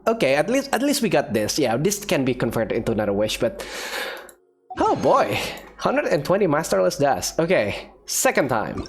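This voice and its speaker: A male gamer gives casual commentary on his play in an expressive way, often saying things twice. His pitch moves around a lot, and faint music plays behind him. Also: moderate pace. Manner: expressive, repeats phrases. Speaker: gaming video creator.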